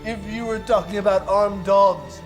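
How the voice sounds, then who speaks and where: An actor playing a haughty villainous courtier in a fantasy film, declaiming in an over-the-top, theatrical way. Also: male voice, sits high, steady tone, moderate pace, faint music behind, close to an everyday voice. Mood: indignant, mocking.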